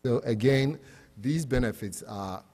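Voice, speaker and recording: Man, Ghanaian accent, dark soft-edged tone, explanatory panel talk, plain-spoken, long hall reverb. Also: mid-range voice, unhurried. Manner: explanatory, plain-spoken, poses rhetorical questions. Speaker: academic panelist presenting on economics. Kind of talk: public panel presentation.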